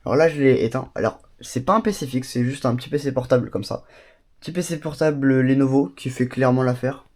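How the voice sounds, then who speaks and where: A man with a cold gives an excited tour of his small home studio. He chats casually and keeps drifting into asides and fillers. His voice sits at an ordinary middle pitch. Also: fast talker. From Bordeaux, France. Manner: chatty, enthusiastic, many fillers and asides. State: has a cold. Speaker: YouTuber showing his studio.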